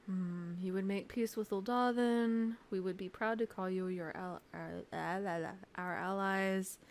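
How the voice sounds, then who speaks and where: A woman's voice: medium pitch, steady tone, unhurried, slight background noise. A woman game streamer giving live playthrough commentary and reading game text aloud.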